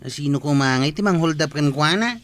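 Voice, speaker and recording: Bright-voiced nosy village man played by a man. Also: a little high, expressive, normal speed. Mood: nosy gossip. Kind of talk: Ilocano comedy radio drama acting. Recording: faint background noise.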